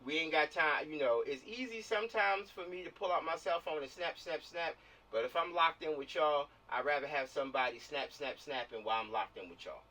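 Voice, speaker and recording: A man's voice: a little high, a little fast, pushed and bright. An American man leading a casual online meeting, giving directions and repeating his phrases, heard over a video call. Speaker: film production organizer leading a meeting. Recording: distant-sounding video call audio.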